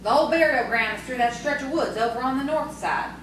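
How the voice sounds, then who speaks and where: Woman acting high-voiced, sharp-tongued tavern keeper, echoey. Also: a little fast, lightly acted. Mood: matter-of-fact. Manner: folksy, teasing, scolding. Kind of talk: audio theatre acting. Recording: very echoey, distant, noisy stage recording.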